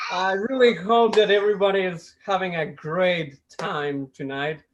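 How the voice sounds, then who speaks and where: Slow Costa Rican man doing stand-up. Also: sits high, fairly even intonation, dull audio. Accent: Costa Rican Spanish. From Costa Rica. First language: Spanish. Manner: deliberate, with restarts and self-amused laughs. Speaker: stand-up comedy student. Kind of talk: stand-up comedy set. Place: home, over an online video call. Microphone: video call mic.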